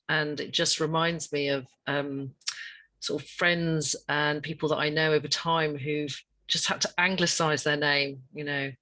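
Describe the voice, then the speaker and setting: A woman speaking thoughtfully on a video call during an online meeting, pausing now and then. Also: deep voice, a bit bright, low-quality recording. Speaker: webinar participant. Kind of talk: unscripted contribution in an online meeting.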